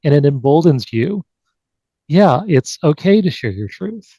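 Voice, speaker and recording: A man's voice: on the high side, lively intonation, a little slow, dark tone, breathy edge, no echo, poor audio. Man hosting an online panel, dry sound. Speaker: podcast host. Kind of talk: live online panel conversation. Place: live video call. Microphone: video call.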